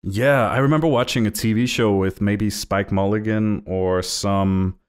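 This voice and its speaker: A man's voice: lowish voice, steady tone, measured pace, smooth. A young Danish man co-hosting a podcast, chatty and jokey, with rambling asides. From Denmark. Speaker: podcast co-host. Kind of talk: casual chat.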